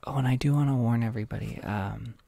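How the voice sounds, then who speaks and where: An American man hosting a casual livestream, talking softly and hesitantly into a close microphone, a little short on sleep. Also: mid-range voice, fast talker, gravelly, no echo. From United States. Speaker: livestream host.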